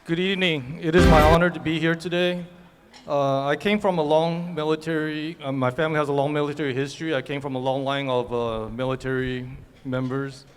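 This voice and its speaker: A man from Taiwan giving an earnest, somewhat halting speech at a forum. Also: on the high side, fairly even intonation, clear, resonant tone. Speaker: guest speaker. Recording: reverberant hall.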